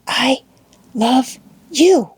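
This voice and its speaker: Woman as a shy little schoolgirl, high and swooping. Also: big pitch swings, unhurried, mellow, husky, exaggerated voice. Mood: shyly fond. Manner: timid, hesitant, childlike. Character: shy, sweet, hesitant little schoolgirl, easily hurt. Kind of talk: audiobook character voice.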